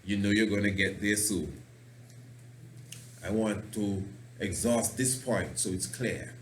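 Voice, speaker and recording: Passionate preacher-host in a roomy echo. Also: male voice, lowish voice, slow pace, a little hiss or hum. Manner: passionate, rambling, fond of wordplay. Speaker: radio show host and priest. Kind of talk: radio talk monologue with preaching.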